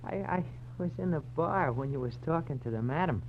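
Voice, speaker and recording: An actor playing a nervous, cadging barfly who stammers and wheedles in a 1940s radio drama. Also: male voice, on the high side, animated, a little fast. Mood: panicky, sly. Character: whiny, nervous male barfly, cadging and weaselly. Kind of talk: 1940s radio detective acting. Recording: dull, noisy 1940s radio recording.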